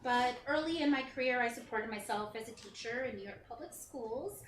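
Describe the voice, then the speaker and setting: A woman playing an earnest American children's television producer in a staged play reading, speaking in a halting, placating manner. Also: mid-range voice, close to an everyday voice. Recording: roomy, distant, faintly noisy recording.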